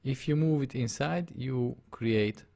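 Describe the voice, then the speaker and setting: Gravelly Hungarian man teaching drawing. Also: mid-range voice, takes it slowly, warm tone. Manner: narrates his on-screen steps, often checks the viewer follows. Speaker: drawing tutor. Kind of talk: live software drawing tutorial.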